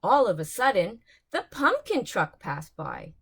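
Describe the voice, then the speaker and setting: A woman's voice: medium pitch, sing-song, unhurried, slightly rough. A woman reading bedtime storybooks aloud to young children, expressively, with dramatic emphasis on key words.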